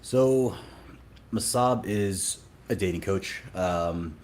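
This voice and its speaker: A man's voice: low voice, drawn-out words, darkish tone, slight background noise. A man from Sudan, a dating coach, talking casually in an interview with many fillers and restarts.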